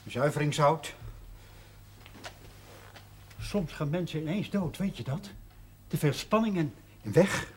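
Fretful, nervous husband. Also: male voice, fairly high pitch, takes it slowly, long pauses. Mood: anxious, self-pitying. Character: anxious, self-pitying husband with nerves before an event. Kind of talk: 1970s television drama acting. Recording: noisy old TV soundtrack.

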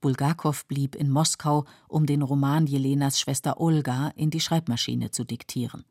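Mellow, sober documentary narrator. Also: medium pitch, fairly even intonation, normal speed, no echo, clean studio sound. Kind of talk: scripted factual narration.

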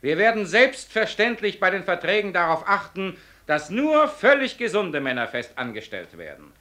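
An actor playing a pompous, reassuring company director speaking formally in a German radio play. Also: male voice, high voice, very animated, moderate pace, pushed and bright, full character voice.

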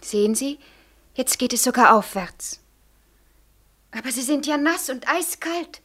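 An actress in a 1940s German radio play voicing a kind, frank young woman, earnest and gently coaxing. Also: high voice, expressive, unhurried, full character voice. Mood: kindly coaxing. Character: kind, concerned young girl, frank and helpful.

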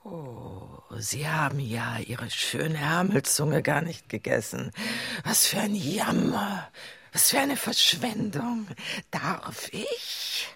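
Greedy coaxer, very raspy, slow drawl, theatrical, radio play. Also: female voice, deep voice, big pitch swings, fairly bright tone, heavily acted. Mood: coaxing, greedy. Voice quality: husky, gravelly. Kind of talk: German radio drama acting.

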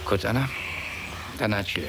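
A man's voice: on the low side, normal speed, heavy background noise, lightly acted. A man playing an exasperated, stubborn lover on his way out in a radio drama, speaking tersely and insistently. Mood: cold, resigned. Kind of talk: German radio drama acting.

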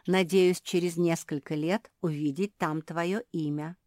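A woman voicing a wise, kindly male pug in a storybook, measured and subdued. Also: fairly low pitch, fairly even intonation, a little mellow, dry recording, studio quality, natural voice.

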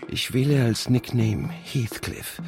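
An actor playing a brooding father who narrates in a radio drama, with a halting, hushed delivery. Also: medium pitch, creaky, music in the background. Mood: intent, curious. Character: brooding father posing online, secretly searching for his daughter. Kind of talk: radio drama first-person narration and dialogue.

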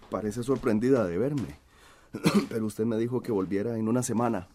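A man's voice: lowish voice, normal speed, dark tone, slight background noise, natural voice. A man playing a mild, courteous countryman with a cough in a radio drama. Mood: polite.

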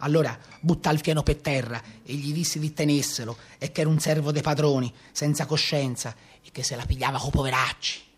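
Tuscan brigand played by a man, higher-voiced. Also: steady tone, a little fast, close to an everyday voice. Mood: aggrieved. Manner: colloquial folk storytelling. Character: Tuscan brigand, former cowherd, bitterly recounting his life. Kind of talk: live radio theatre monologue.

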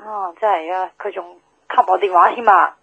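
A woman's voice: lowish voice, moderate pace, vocal fry, husky, no echo. An actress playing a chatty, blunt young woman with a Hong Kong accent, grumbling and teasing casually in a radio drama. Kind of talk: naturalistic radio drama dialogue. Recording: tinny, dry telephone line.

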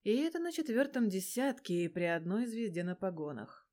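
A woman reading fan fiction aloud as an expressive storyteller, with a colloquial, sardonic manner. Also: mid-range voice, moderate pace. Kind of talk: expressive audiobook-style storytelling with character voices.

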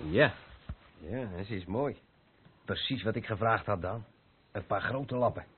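A man's voice: fairly low pitch, unhurried, breathy edge. A man acting in a radio play as an experienced, practical and uneasy truck driver. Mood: matter-of-fact. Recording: noisy phone-like band-limited old radio play recording.

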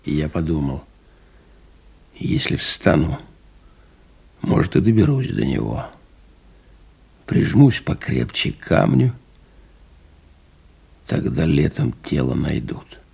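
An actor playing an exhausted, stubborn pilot recalling his survival, halting and dogged, in a radio-play monologue. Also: rumbling low, very animated, a little mellow, heavily acted. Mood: weary, determined. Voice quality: gravelly, husky.

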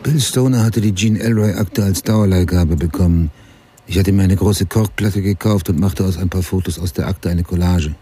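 A low, mellow, brooding middle-aged writer. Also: male voice, moderate pace, slightly rough. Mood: sober. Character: brooding middle-aged male writer recalling his mother's murder. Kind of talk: literary first-person radio drama narration.

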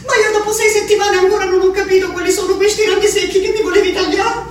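Woman playing a desperate asylum inmate, very high voice, echoey room, music behind, a bit off mic. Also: flat delivery, fast, distant mic, slight background noise. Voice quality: shifts from quiet reading to shouting. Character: woman locked in an asylum, writing to her husband, bitter and desperate. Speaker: actress. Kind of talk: radio drama acting. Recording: roomy radio broadcast with music, voice a bit off mic.

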